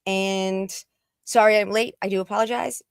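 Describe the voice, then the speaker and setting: A woman's voice: mid-range voice, fairly even intonation, moderate pace, creaky, clean tone, no echo. Laughing woman on a dry remote mic. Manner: laughs often while talking. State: recovering from losing her voice. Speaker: panelist. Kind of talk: casual podcast chat. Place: home setup, California.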